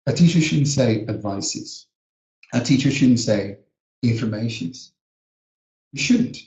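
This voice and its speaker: A man's voice: medium pitch, lively intonation, takes it slowly, darkish tone. Brazilian man teaching pronunciation, echoey. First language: Portuguese. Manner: earnest, emphatic, repeats words to drill pronunciation. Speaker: teacher educator. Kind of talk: live webinar lesson. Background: a small child heard now and then.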